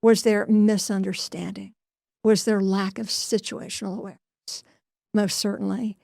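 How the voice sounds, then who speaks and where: A woman working as a news correspondent, explaining a story in a deliberate way, restating key points as she goes. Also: medium pitch, level tone, creaky, darkish tone, dry recording, studio quality. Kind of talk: news report, semi-scripted explanation.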